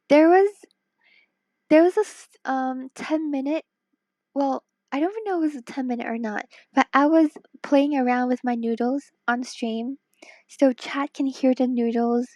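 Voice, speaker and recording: A woman's voice: high voice, a little mellow, no echo. A woman from Hong Kong chatting casually on a late-night stream, tired and worn-voiced, playful and teasing, sometimes dropping to a whisper. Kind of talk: casual live-stream chat while drawing.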